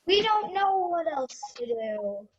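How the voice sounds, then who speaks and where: A woman's voice: extremely high pitch, big pitch swings, slow, muffled recording, heavily acted. A woman playing a matter-of-fact, know-it-all young grandchild in an amateur radio play. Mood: whiny. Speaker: student radio play performer.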